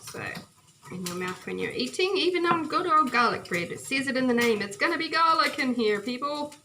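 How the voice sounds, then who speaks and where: A woman's voice: high-pitched, animated, a little fast. A woman from New Zealand hosting a home cooking video, chatting cheerfully as she chops and stirs in her kitchen. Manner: cheerful and chatty, often laughing, talks while chopping and stirring. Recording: noisy home kitchen with frying sizzle. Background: food sizzling and kitchen clicks.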